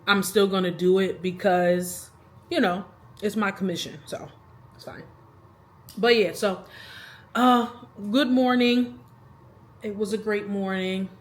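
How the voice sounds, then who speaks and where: A woman in her thirties talking casually to the camera in a rambling, chatty vlog, laughing between thoughts. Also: medium pitch. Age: 30s. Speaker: vlogger.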